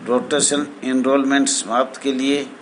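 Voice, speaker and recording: A man giving an informal explanatory address to fellow members, speaking haltingly with formal honorifics and repeated phrases. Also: mid-range voice, level tone, a little hiss or hum, low-quality recording. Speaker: home guard association spokesman.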